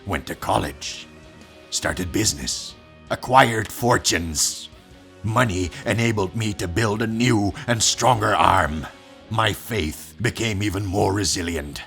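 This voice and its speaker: A man's voice: on the low side, takes it slowly, creaky, fairly bright tone, faint music behind, full character voice. A man playing a fanatical old villain, grandiose and righteous, preaching pompously in a comic dub. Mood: reverent, fervent. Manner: pompous, preaching, theatrical delivery. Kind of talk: dramatic comic dub acting.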